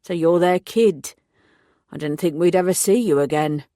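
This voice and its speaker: A woman voicing a blunt, gruff middle-aged man who drinks at the village pub, in a read-aloud story. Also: fairly low pitch, moderate pace, mellow, no echo, studio quality, close to an everyday voice. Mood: grim. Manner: blunt, grim, plain-spoken.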